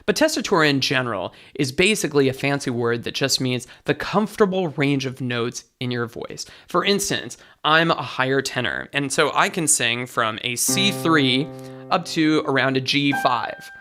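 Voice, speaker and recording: Bright-voiced man teaching singing. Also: medium pitch, lively intonation, normal speed, soft background music. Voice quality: light, bright tenor voice. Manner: enthusiastic teaching, sings short demos. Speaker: vocal coach guest. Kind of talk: explaining singing technique.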